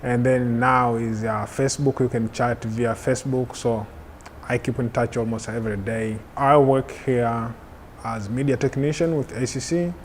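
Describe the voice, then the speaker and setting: A man from Tanzania with a Tanzanian accent, whose first language is Hehe, describing his work and studies in measured speech with hesitations. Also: medium pitch, steady tone, a little hiss or hum. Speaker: media technician and student. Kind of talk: interview.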